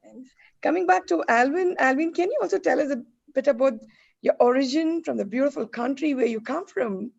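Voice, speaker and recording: A woman hosts an online panel with effusive politeness. Her voice is fairly high and mellow, with plenty of creak. The sound is slightly dull, like a video call. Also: brisk. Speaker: panel host. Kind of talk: hosting an online panel discussion.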